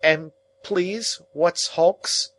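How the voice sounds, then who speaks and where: A man's voice: high voice, extremely slow, voice pushed up. Storybook figure: a shy, questioning small boy with a lifted voice, put on by a male narrator. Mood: curious. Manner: hesitant, questioning. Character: small curious timid boy.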